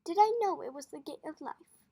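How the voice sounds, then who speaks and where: Storybook farm boy played by a woman reader: young, high-pitched, anxious and curious, earnest questioning tone. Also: animated, brisk, dark tone.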